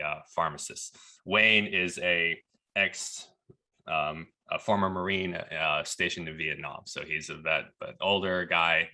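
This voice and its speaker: Low bright-voiced guy from texas, chatty storytelling on a podcast. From Texas, USA. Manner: relaxed, chatty, laughs at the absurd parts. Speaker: podcast guest. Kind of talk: casual storytelling.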